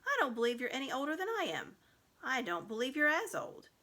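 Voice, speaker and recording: Storybook boy, played by a female reader: naive, frank, questioning, bright voice with lively rises and falls. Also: sits high, a little fast, voice pushed up, exaggerated voice. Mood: puzzled, challenging. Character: blunt, naive young boy. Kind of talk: storybook read aloud.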